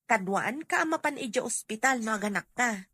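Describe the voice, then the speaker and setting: A woman's voice: on the high side, expressive, brisk, sharp tone, husky, no room sound, clean studio sound, full character voice. A woman playing a kind, supportive young best friend in an audio drama. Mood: friendly, caring.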